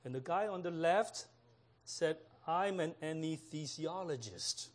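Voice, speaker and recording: High-voiced slow-talking pastor from Hong Kong. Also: male voice. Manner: unhurried, laughs at own jokes, frequent fillers. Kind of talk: sermon with humorous stories.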